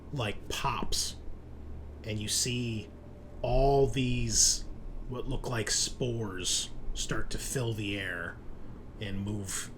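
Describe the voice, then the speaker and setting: A man's voice: medium pitch, a bit bright, slight background noise. A man narrating a tabletop horror roleplaying game as game master, descriptive and conversational, with some swearing.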